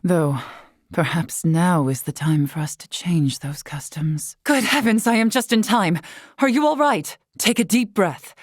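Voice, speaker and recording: An actress playing a wise, long-lived female scholar in a video game, composed and curious, speaking in formal, measured, eloquent phrases. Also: on the low side, dry recording, clean studio sound. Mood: concerned, calm. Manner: formal, measured, eloquent, softly breathy at times. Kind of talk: scripted video game character dialogue.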